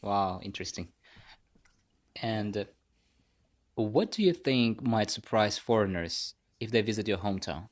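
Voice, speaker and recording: A man's voice: fairly low pitch, lively intonation, unhurried, pauses often. A man from Tajikistan hosting a podcast interview, asking curious questions with short interjections. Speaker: podcast host. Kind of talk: casual interview.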